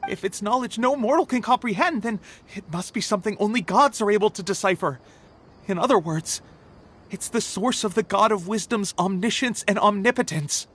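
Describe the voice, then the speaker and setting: A man's voice: high voice, normal speed, fairly bright tone. A man voicing an earnest young scholar who explains things in a video game cutscene. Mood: serious.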